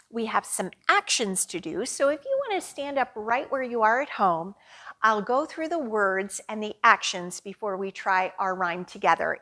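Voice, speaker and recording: A woman's voice: mid-range voice, a bit bright. A woman librarian hosting a storytime, speaking simply and directly to young children as she presents stories and activities. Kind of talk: children's storytime presentation and picture book read-aloud.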